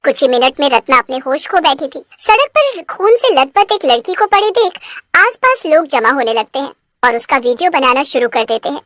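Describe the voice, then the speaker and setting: A woman's voice: sits high, fairly bright tone, dry recording, clean studio sound. A woman reading scripted narration for a cartoon story.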